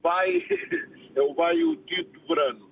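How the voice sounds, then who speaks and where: A man's voice: extremely high pitch, wildly swooping pitch, slow pace, vocal fry, a little hiss or hum, poor audio. A man from Portugal with a European Portuguese accent, a guest chatting casually on a radio show and reading event listings with hesitations. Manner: hesitant, reads from a poster.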